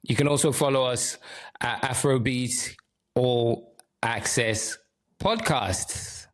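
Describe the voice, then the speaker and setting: A male podcast host runs the show with upbeat, hype-style energy and sometimes fixes his own words mid-sentence. His voice sits at a middle pitch. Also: slow pace. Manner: upbeat, hype-style intros with self-corrections. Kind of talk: podcast interview hosting.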